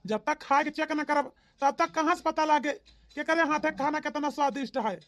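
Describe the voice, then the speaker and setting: Woman voicing a high-pitched, emphatic cartoon village in-law. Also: steady tone, normal speed, fairly bright tone, slight background noise. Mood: insistent. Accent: Awadhi. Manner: emphatic, repetitive, folksy. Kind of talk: comedy cartoon dialogue.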